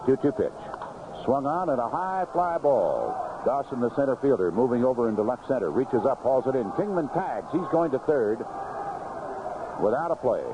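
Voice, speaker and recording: An American man calling a baseball game play by play on 1970s radio, in clipped, unhurried phrases from an outdoor ballpark booth. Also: medium pitch, very animated. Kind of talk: live radio sports commentary, 1970s. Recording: noisy vintage AM radio broadcast, muffled.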